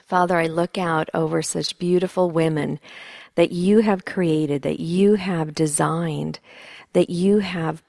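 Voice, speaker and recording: Middle-aged woman from sweden, pastor's wife, lowish voice, encouraging and heartfelt, dry close sound. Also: fairly even intonation, slow pace, gravelly, a little mellow, dry recording. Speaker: pastor's wife leading a women's session. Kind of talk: encouraging talk and prayer. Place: church conference hall.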